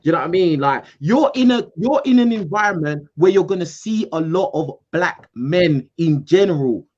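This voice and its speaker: A man from the UK, hosting a call-in show in a casual, animated debate, repeating himself for emphasis. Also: a little high, moderate pace, dull audio. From United Kingdom. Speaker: host.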